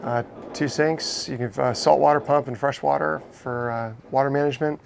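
A man in his thirties shows off his boat in an enthusiastic, explanatory way, often restarting his sentences. His voice sits at an average pitch. Faint background noise runs under his lapel mic. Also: steady tone, moderate pace, vocal fry. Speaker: boat owner giving a tour. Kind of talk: casual walkthrough tour. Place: inside a catamaran saloon, docked.

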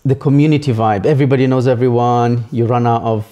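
A young man born in Italy and raised in the UK, a guest telling his story in a relaxed, matter-of-fact way while seated on a sofa in a living room. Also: mid-range voice, fast talker. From born in Italy, raised in the UK from age twelve. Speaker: guest, property entrepreneur. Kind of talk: casual interview chat.

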